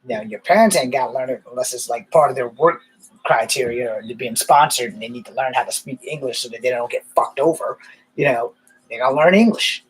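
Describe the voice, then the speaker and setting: Husky-voiced man telling stories. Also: medium pitch, brisk, low-quality recording. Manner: rambling, candid, swears casually. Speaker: vlogger. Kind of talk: casual storytelling monologue.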